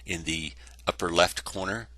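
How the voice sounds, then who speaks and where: A man's voice: low-pitched, moderate pace, sharp tone, no echo. A congested man casually narrating a tabletop wargame battle report, rambling with many fillers. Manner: rambling, self-correcting, lots of fillers.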